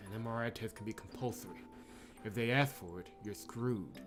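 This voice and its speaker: A cautious, concerned medic from a visual novel acted out by a man. He speaks in an earnest, measured tone of warning over faint music. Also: fairly low pitch, lightly acted. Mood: stern warning.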